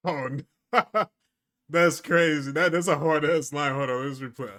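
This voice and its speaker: A man's voice: on the high side, steady tone, unhurried, a bit bright. A young American man hosting a reaction video, casual and amused, with plenty of slang and swearing.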